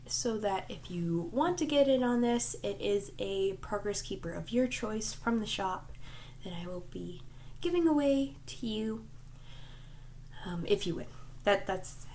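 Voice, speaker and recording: A woman chats casually as the host of a home crafting vlog, her voice stuffy and faintly nasal as she gets over a cold. She rambles in a friendly, self-deprecating way, full of ums and likes, at an average pitch. A faint hiss of room noise sits behind her in a small home room. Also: a little mellow, poor audio. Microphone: desk microphone.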